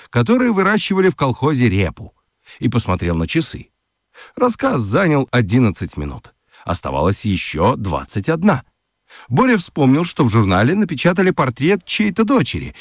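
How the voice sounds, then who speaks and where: A man narrating a children's radio play as an expressive, scripted storyteller. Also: lowish voice, no echo, studio quality.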